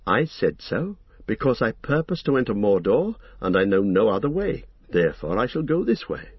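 A man voicing Frodo, a resolute young male hobbit who speaks in a steady, solemn way. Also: lowish voice, normal speed, slightly rough, no room sound, dull audio.

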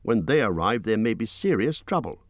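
A man voicing a small, fussy male hobbit who talks in a squeaky, hurried, apologetic way. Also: very animated, no room sound. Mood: polite.

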